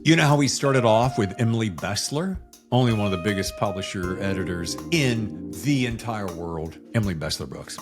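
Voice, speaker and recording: A man's voice: fairly low pitch, lively intonation, normal speed, over music. A man hosting a podcast in a casual, chatty style, with a folksy, effusive showman's way of talking. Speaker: podcast host.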